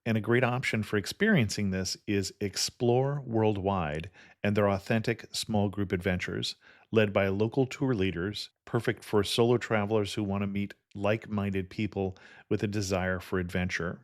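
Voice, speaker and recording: A man's voice: fairly low pitch, steady tone, moderate pace, no room sound, clean studio sound. A man hosting a travel podcast, reading sponsor spots and interviewing a guest in an upbeat way. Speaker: podcast host.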